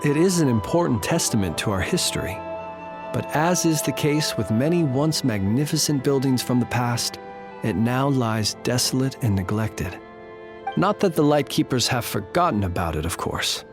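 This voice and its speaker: Solemn guard officer for a game, male actor: medium pitch, formal, reassuring, unhurried dignity. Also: close to an everyday voice. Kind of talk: video game voice acting.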